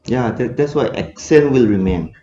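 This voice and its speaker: A man's voice: medium pitch, expressive, moderate pace. A young man with a Manipuri accent, a research student answering questions in an academic discussion, hesitant, with many fillers and tag questions. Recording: echoey room, dull lo-fi audio.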